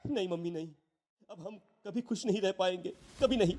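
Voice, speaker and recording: A man's voice: high-pitched, brisk, a little mellow, close to an everyday voice. A man voicing a distressed teenage schoolboy in a cartoon story, pleading and reproachful in a dramatic way. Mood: hurt, reproachful. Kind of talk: Hindi cartoon story acting.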